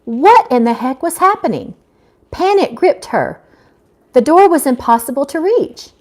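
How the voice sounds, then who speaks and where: Middle-aged woman, high voice, lively intonation, informal live storytelling, amateur read-aloud. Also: measured pace. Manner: expressive, informal, unpolished reading. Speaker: author reading own novel aloud. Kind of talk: live amateur audiobook reading with casual asides.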